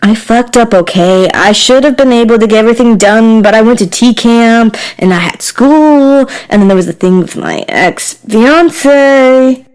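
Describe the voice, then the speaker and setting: A woman's voice: on the high side, measured pace, hardly any pauses, a little mellow, clean tone, close to an everyday voice, rough recording. A woman playing a flustered, apologetic game developer in a scripted comedic skit. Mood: defensive. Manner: sheepish, apologetic.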